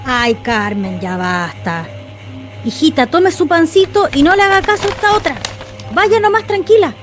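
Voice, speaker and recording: A woman's voice: high voice, animated, normal speed, faint music behind. A woman with a Chilean accent playing a gossipy but placating neighborhood shopkeeper in a radio drama, familiar and chatty.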